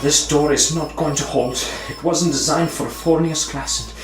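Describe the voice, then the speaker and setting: A man acts out a frightened facility worker in a sci-fi horror audio drama. His voice sits fairly high and rings in an echoey space, with prominent music behind it. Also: steady tone, normal speed, lightly acted. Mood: desperate, afraid. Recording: echoey room, music underneath.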